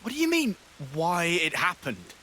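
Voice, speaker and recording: A man's voice: high-pitched, wildly swooping pitch, normal speed, bright, forceful tone, slight background noise, exaggerated voice. A man playing a hot-headed, grieving young male soldier in a video game cutscene. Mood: angry, defiant.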